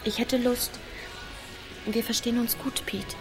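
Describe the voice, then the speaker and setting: A woman's voice: a little high, level tone, measured pace, over music, close to an everyday voice. A woman playing a wary, blunt but shyly affectionate teenage village girl, speaking tersely and dryly in a radio drama. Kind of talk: naturalistic radio drama dialogue.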